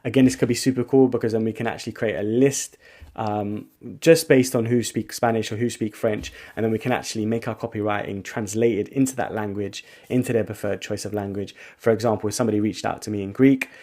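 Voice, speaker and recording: A man walking viewers through a software tool in a casual screen-share tutorial, thinking out loud with frequent restarts. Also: lowish voice, fairly even intonation, fairly quick. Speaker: agency founder giving a software tutorial.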